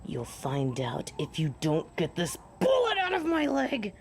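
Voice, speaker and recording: A woman's voice: fairly low pitch, huge pitch swings, a little slow, vocal fry, slight background noise, full character voice. A woman playing a stubborn, hot-headed young female werewolf with a defiant, sarcastic manner in a romantic audio roleplay. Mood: grumpy, sarcastic.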